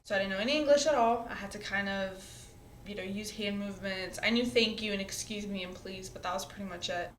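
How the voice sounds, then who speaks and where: A woman's voice: medium pitch, fast talker, a little hiss or hum. Reflective young woman, roomy sound. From born in Syria, raised in the US. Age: 20s. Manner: conversational, reflective, frequent "um" and "you know" fillers. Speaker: college student, interviewee. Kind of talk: personal storytelling interview. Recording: roomy echo.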